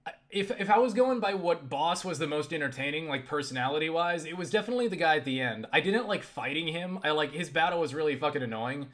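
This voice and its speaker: A man chatting casually and haltingly about games, swearing freely in an animated way. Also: a little high. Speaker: video creator answering viewer questions. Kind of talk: casual rambling game chat.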